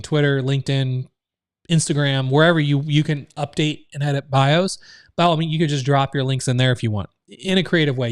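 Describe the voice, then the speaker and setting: A man's voice: mid-range voice, clear, resonant tone, dry recording, studio quality. Man casually talking through a live training. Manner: casual, loose, filler-heavy explaining. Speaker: online business trainer. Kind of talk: live screen-share training.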